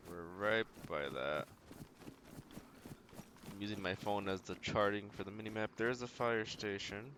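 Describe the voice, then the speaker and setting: A man's voice: on the low side, a little slow, long pauses, a bit bright, slight background noise. A man giving casual gameplay commentary as he streams a survival game, muttering short, playfully quaint remarks to himself.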